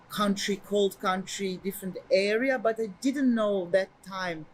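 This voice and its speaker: A woman's voice: fairly low pitch, slight background noise, rough recording. A middle-aged woman from Serbia with a Serbian accent, telling her story as an artist and activist in a hesitant way, with many fillers and restarts. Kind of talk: personal account to camera.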